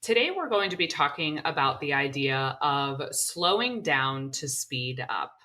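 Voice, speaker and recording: A woman hosts a podcast interview in a friendly, chatty way, filling her pauses with ums and uhs. Her voice is low in pitch yet bright and forward. She keeps the talk flowing between questions. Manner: friendly, with many fillers. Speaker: podcast host.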